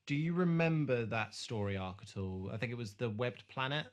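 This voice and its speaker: A man in casual podcast discussion, speaking thoughtfully and often pausing to search for his words. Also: medium pitch, dull audio. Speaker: podcast host.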